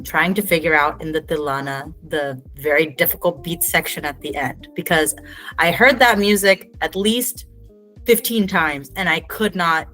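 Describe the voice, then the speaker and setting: A woman's voice: low voice, low-quality recording. A woman from Houston, a dancer, talking in a casual conversation and telling stories animatedly. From Houston, Texas. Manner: animated storytelling, chatty. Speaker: dancer guest.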